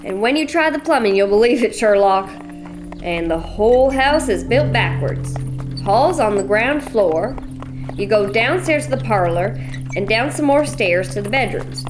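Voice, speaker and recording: A woman's voice: a little high, animated, a little slow, music in the background. A woman playing a brash, folksy ranch widow. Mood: bossy, folksy. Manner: bossy, folksy, blunt. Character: brash rich widowed American ranch woman, middle-aged. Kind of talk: radio play acting.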